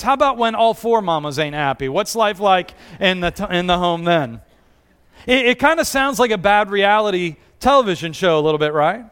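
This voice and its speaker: A man delivers a sermon in a relaxed, talkative way, turning to the congregation with questions. His voice sits high for a man and sounds smooth and resonant. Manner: conversational, asks the audience questions. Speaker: pastor. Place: church with congregation.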